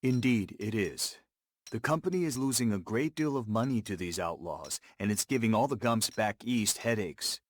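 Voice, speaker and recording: An actor playing an earnest, principled Lakota man in a video game, with a measured, firm and serious manner. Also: on the low side, lively intonation. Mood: dry, firm. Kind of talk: scripted game cutscene acting and narration.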